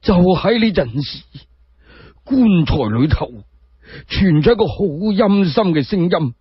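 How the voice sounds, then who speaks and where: A man voicing a frail, sorrowful old sage in Cantonese for a radio drama, drawn-out and halting. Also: sits high, lively intonation, breathy edge, dry recording, highs cut off, exaggerated voice. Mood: troubled, fearful. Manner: drawn-out, halting, repeating words.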